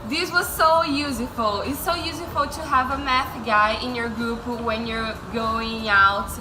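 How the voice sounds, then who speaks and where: A woman's voice: a little high, unhurried. A woman, a Portuguese speaker, chatting casually as she interviews friends. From Brazil. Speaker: interviewer, English-teaching vlogger. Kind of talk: casual chat. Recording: echoey room with clear background noise.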